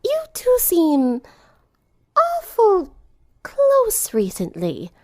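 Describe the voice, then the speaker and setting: A woman voicing an elegant, sly teenage girl in a cartoon story, teasing with refined, drawn-out words. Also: extremely high pitch, wildly swooping pitch, pitched above the performer's own voice, heavily acted.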